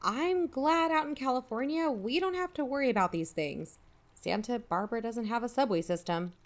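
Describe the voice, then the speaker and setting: Actress as earnest female investigator, a bit high in pitch, to-the-point questions, audio drama. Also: moderate pace, natural voice. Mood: curious.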